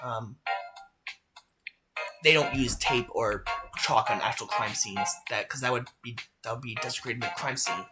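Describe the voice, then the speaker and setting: A woman doing a solo game dub, talking casually with halting, hesitant delivery. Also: rumbling low. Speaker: solo fandubber. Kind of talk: playthrough reading of game dialogue with casual asides. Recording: loud music over the voice. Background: loud game music.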